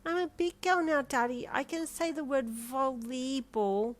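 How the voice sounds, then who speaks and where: High-pitched sassy little girl. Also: a little slow. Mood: proud. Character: sassy four-year-old girl, sweet and proud. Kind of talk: acted audio storytelling.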